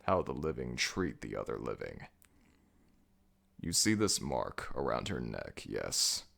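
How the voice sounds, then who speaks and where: A man playing a commanding, brooding ancient jackal god of the dead in an ASMR audio roleplay, with a gravelly, very deep voice and a slow, imperious delivery. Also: big pitch swings, fairly bright tone, heavily acted. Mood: grave, explanatory.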